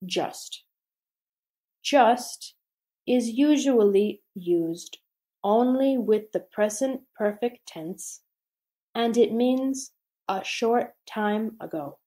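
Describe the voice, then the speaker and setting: A woman reading a scripted grammar lesson aloud, slowly and deliberately with pauses between phrases. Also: fairly high pitch, animated, dark tone. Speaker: English teacher.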